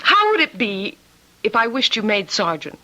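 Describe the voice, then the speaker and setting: An actress playing an eager, persistent, practical-minded wife in an old-time radio drama, coaxing and questioning. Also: female voice, medium pitch, very animated, normal speed, sharp tone, rough recording.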